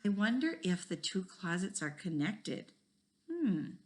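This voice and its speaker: A woman reading a children's story aloud like a teacher, expressively, pausing to wonder aloud with her listeners. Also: mid-range voice. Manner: animated, pauses to pose questions to listeners.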